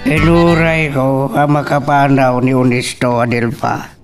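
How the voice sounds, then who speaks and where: A man's voice: mid-range voice, little pitch movement, unhurried, far from the mic, faint music behind, lightly acted. A man playing a fretful, scolding confidant in a radio family drama. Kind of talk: Ilocano radio drama acting.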